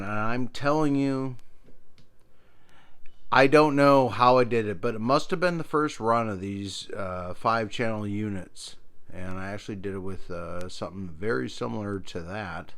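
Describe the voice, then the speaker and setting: A middle-aged man giving a casual, meandering show-and-tell as an enthusiastic hobbyist host. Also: on the low side. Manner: meandering, enthusiastic about old gear. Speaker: video host, radio hobbyist.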